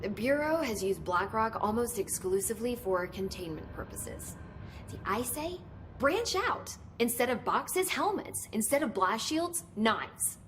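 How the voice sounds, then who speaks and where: A woman's voice: a little high, very animated, a bit bright, slight background noise. A middle-aged woman voicing an enthusiastic research scientist in a game. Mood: excited. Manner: brisk, gets carried away with enthusiasm. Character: excitable female research scientist.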